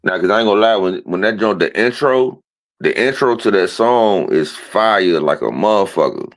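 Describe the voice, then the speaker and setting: A man chatting casually as a guest on a livestream, excitable, repeating words and swearing freely. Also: fairly low pitch, normal speed. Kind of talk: casual chat.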